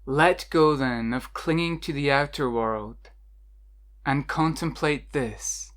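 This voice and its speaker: Very slow, measured meditation guide. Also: medium pitch, fairly bright tone. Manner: slow, measured, instructional delivery with deliberate pauses. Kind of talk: scripted guided meditation narration.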